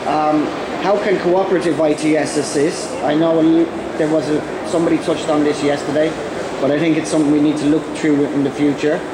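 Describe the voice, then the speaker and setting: A man's voice: fairly high pitch, fairly even intonation. Irish man with a cold, echoey hall. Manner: halting, many ums and restarts. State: has a cold. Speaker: conference speaker. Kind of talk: conference presentation. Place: conference hall. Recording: echoey hall with background noise.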